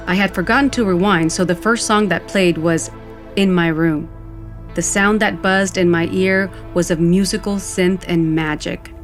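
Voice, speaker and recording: Woman narrator, lower voice, wistful, vivid storytelling, scripted audio fiction. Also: steady tone, normal speed, soft background music.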